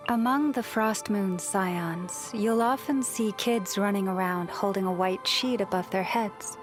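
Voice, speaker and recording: A woman acting as a gentle, devout young priestess of the moon from a video game. She speaks slowly and softly at a medium pitch, measured and reflective, with breath trailing at the ends of her phrases. Music plays softly behind her. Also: lightly acted. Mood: serene, gentle. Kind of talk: scripted video game character voice lines.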